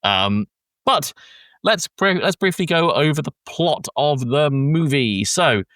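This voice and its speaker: A man's voice: fairly high pitch, animated, takes it slowly, a bit bright, no room sound. A man hosting a podcast with casual film discussion while a bit sick. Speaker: podcast host.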